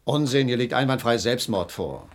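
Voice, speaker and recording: An actor playing a stubborn, skeptical police inspector in a German radio play, brusque and easily flustered. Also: male voice, mid-range voice, expressive, normal speed, lightly acted. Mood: dismissive. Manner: brusque, huffy, sometimes stammering.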